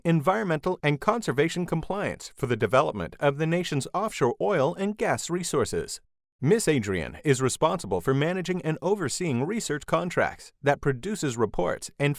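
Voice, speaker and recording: A man's voice: a little high, no echo, studio quality. A man reading scripted documentary narration.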